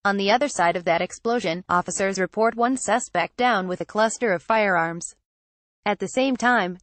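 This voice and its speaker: A woman's voice: on the high side, dry recording. Woman reading news in a dry recording. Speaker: news reader. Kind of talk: scripted news narration, reading aloud.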